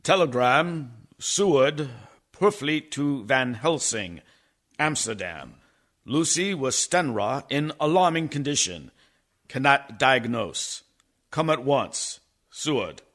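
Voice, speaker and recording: Man acting an earnest doctor, slow and expressive. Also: medium pitch. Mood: urgent, formal. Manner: formal, deliberate. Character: earnest young doctor narrating his fiancée's decline. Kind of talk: dramatic radio play narration.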